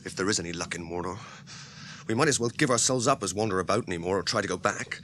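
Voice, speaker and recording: A man's voice: deep voice, fast, a bit bright, a little hiss or hum. An actor playing a loyal, practical male hobbit servant who talks in a homely, plain-spoken way, in an old radio drama. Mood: earnest. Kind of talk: 1980s radio drama acting.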